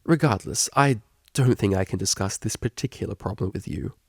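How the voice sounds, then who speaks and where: A man's voice: lowish voice, fairly quick, creaky, a little mellow, slightly rough, no room sound, studio quality. A man voicing a prim, guarded young female pony student with a formal, hesitant manner in a story reading. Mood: curt, guarded.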